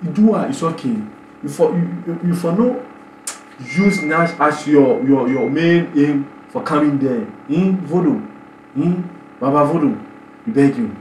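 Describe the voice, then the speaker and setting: A young man in his twenties with a Nigerian Pidgin accent, hosting an animated reaction vlog full of exclamations and stammered repeats. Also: on the high side, unhurried, echoey room, slight background noise, low-quality recording. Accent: Nigerian Pidgin English. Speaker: YouTube reaction host. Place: small bedroom indoors.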